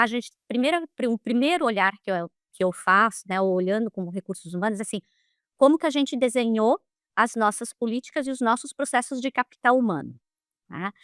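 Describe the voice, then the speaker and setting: A middle-aged woman from Brazil talks in a lively, animated way, with a fairly high voice. She often laughs and tags her phrases with a questioning "né?". The sound is dry and close to the mic. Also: dry recording. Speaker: guest, HR leader. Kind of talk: casual interview conversation.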